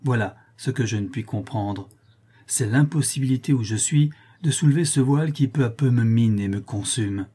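A man's voice: medium pitch, normal speed, warm tone, husky, natural voice. A man playing a pompous, scandalized old porter, huskily declaiming. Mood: brooding, baffled. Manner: grandiloquent, exclamatory, formal diction. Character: pompous, easily scandalized old male porter, melodramatically dignified.

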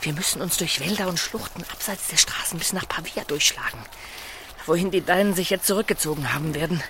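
An actor playing an impassioned, devoted young knight in a German radio play, with an emotional, exclamatory delivery. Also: male voice, a little high, brisk, noisy background, lightly acted. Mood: protective, cautious.

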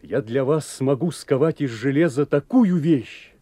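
A husky proud young blacksmith. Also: male voice, medium pitch, expressive, measured pace, warm tone, no room sound. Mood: earnest. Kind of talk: 1980s radio play acting.

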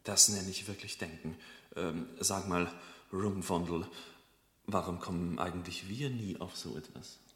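Low-voiced, baffled philosopher. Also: male voice, fairly even intonation, measured pace, fairly bright tone. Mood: astonished. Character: baffled, impressed guru philosopher. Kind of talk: German radio drama acting. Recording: echoey hall.

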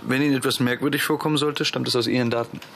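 An actor in a radio drama playing an unruffled software developer with a brisk, matter-of-fact manner. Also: male voice, medium pitch, steady tone, gravelly, background noise, natural voice.

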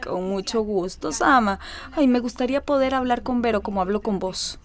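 Woman acting as worried mother, kindly, reassuring, Costa Rican accent, fairly high voice, radio soap. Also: normal speed, lightly acted.

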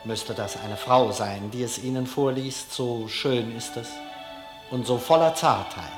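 Slow-speaking lecturer in an echoing hall. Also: male voice, mid-range voice, music in the background. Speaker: film director giving a lecture. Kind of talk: public lecture, deliberate and rhetorical. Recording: echoey hall, long reverb.

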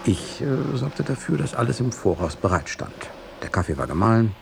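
An actor playing a sober, devoted companion of an aging master, speaking in a measured, precise manner in a literary radio drama. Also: male voice, medium pitch, big pitch swings, vocal fry, background noise. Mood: sober, reflective.

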